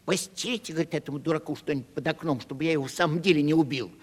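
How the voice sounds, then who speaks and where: An actor playing a plaintive, cheeky vagabond provincial comic in a 1970s audio stage drama, telling things animatedly. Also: male voice, fairly high pitch, very fast, husky. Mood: agitated storytelling. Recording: reverberant hall-like studio recording.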